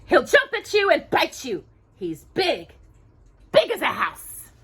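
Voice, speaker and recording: A woman's voice: high-pitched, wildly swooping pitch, takes it slowly, creaky, a bit bright, pitched above the performer's own voice, heavily acted. A woman voicing a friendly little male mouse from a storybook, earnest and emphatic, drawing out his phrases. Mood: warning.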